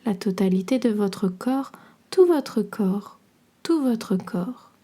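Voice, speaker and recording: Slow, mellow woman guiding relaxation. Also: mid-range voice, expressive. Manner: soothing, measured, instructional delivery. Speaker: podcast host and yoga nidra guide. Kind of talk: guided relaxation meditation.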